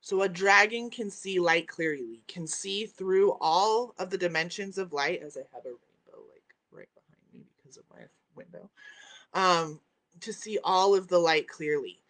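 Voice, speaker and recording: A woman's voice: lowish voice, animated, moderate pace, fairly bright tone. A woman hosting a spiritual live stream from home, teaching with emphatic repeated phrases and a habit of asking "right?". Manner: reads out and answers viewer comments. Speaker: host. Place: at home by a window, with dogs in the house.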